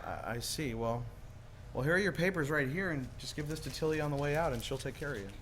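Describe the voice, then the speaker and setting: A man's voice: on the high side, fast talker, a bit bright, slight background noise, lightly acted. A man playing an earnest, kind small-town businessman with a folksy, hesitant stammer, in 1940s radio style. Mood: earnest, generous. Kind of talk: 1940s radio play acting.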